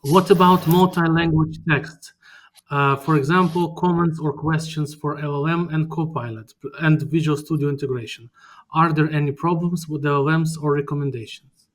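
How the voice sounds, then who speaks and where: A man's voice: fairly high pitch, steady tone, moderate pace. A man moderating a webinar over a video call, politely reading audience questions from a list.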